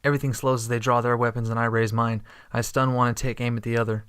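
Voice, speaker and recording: Male narrator, first-person sci-fi audio story. Steady, understated, little pitch movement. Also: fast talker, clear, resonant tone. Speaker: narrator and protagonist.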